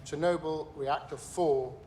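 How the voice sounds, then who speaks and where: A man acting as a grave, bitter nuclear scientist testifying at a trial in a screen drama, measured and emphatic as he explains. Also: fairly high pitch, steady tone, mellow, slight background noise, close to an everyday voice. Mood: grave, urgent.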